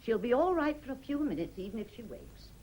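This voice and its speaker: An actress playing a blunt, chatty but deferential household servant in a radio drama, matter-of-fact and grumbling. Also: female voice, fairly high pitch, big pitch swings, quick pace, warm tone. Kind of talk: British radio drama acting.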